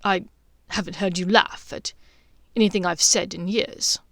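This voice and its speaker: A woman's voice: lowish voice, fairly even intonation, unhurried, vocal fry, fairly bright tone, no echo. A woman voicing a middle-aged aristocratic husband in a storybook, pompous and awkwardly amorous, with drawled innuendo.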